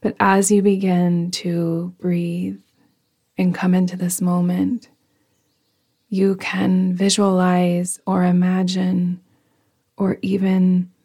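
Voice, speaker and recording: A woman guiding a yoga nidra meditation in a soft, soothing, hushed voice that often trails into a breathy whisper. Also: on the low side. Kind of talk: guided meditation, slow and soothing.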